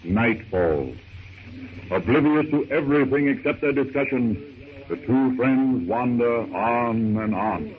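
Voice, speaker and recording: A man's voice: medium pitch, lively intonation, measured pace, close to an everyday voice. A man giving scripted, dramatic narration for an old-time radio serial. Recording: tinny, noisy old radio recording.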